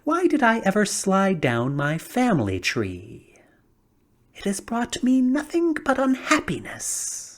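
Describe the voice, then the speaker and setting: Weary old straw emperor, slow and sighing, often breathy, swinging widely in pitch, as a woman reader plays him. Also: huge pitch swings. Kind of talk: storybook reading.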